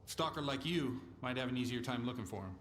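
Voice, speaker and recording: A man playing a hard-nosed armed faction commander in a video game, measured and stern. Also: medium pitch, lively intonation, fairly bright tone, a little hiss or hum, natural voice. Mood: businesslike. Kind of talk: video game character dialogue.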